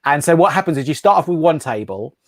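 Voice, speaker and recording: A man from Galicia in Spain, a guest on a video call chat, speaking in a measured, friendly way. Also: fairly high pitch, expressive, no echo, studio quality. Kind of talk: casual interview chat.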